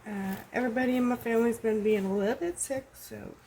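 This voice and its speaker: A woman's voice: on the high side, fast, vocal fry, dark tone, slight background noise. A woman with a stuffed-up nose, chatting casually and rambling with many ums and likes while she decorates her planner at home. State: stuffed up with a cold. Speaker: planner vlogger. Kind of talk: casual plan-with-me chat.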